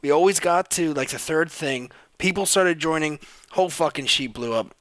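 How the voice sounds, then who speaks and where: Bright-voiced laid-back man host. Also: on the high side, normal speed, no room sound. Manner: laid-back, joking, often trails off. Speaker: league commissioner and podcast host. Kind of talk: casual group chat on a call.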